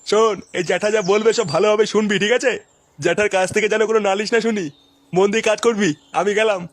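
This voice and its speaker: A man's voice: high voice, fairly even intonation, fast talker, no room sound, close to an everyday voice. A man voicing an impatient, foul-mouthed father in a comic cartoon, nagging and crude. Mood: stern.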